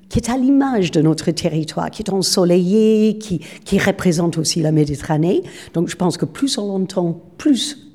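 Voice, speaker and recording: Woman, city official, eager and a bit rambly, husky mellow voice. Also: fairly low pitch. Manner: warm, enthusiastic, repeats words as she searches. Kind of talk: interview at a public event.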